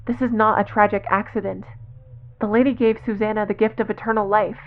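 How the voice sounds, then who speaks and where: A woman's voice: medium pitch, steady tone, a little fast, narrow, tinny audio, lightly acted. A woman voicing a devout female cult leader in a horror web series, instructing in stilted, formal, solemn phrases. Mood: firm, insistent.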